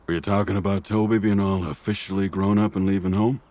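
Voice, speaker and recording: Casting: male actor as easygoing family friend, low-pitched, playful, wry, kind-hearted, conversational drama delivery. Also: level tone, fast, mellow, close to an everyday voice. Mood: teasing, playful. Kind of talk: conversational audio drama dialogue.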